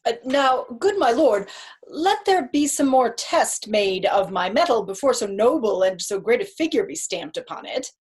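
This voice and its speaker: Woman as an earnest deputy, husky voice. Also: a little high, sing-song, normal speed, a little mellow. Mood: earnest, deferential. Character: earnest, deferential male deputy lord. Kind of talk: staged reading of Shakespeare verse.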